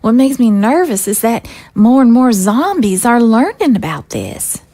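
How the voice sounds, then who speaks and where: A woman playing an anxious, gentle young mother in an audio drama. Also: fairly high pitch, sing-song, measured pace, exaggerated voice. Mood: worried.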